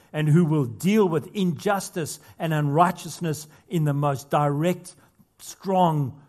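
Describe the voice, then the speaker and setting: Earnest male pastor preaching a sermon. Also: fairly high pitch. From not Australia. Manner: earnest preaching with rising emphasis and casual asides.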